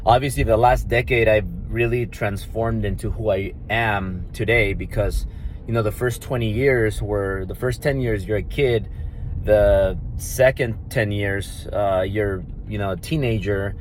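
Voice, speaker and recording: A man's voice: on the low side, fairly even intonation, measured pace, a little hiss or hum. Brash man with a Mexican Spanish accent. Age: 30s. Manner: brash, swaggering, profane, repeats himself. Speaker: vlogger and entrepreneur. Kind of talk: casual boastful vlog.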